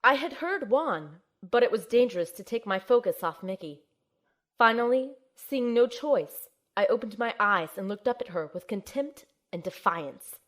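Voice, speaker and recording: A woman playing a determined young heroine who narrates her own adventure in an audio drama, in a measured, earnest way. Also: on the high side, lively intonation, fairly bright tone. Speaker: narrator and lead character. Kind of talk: scripted first-person audio drama narration.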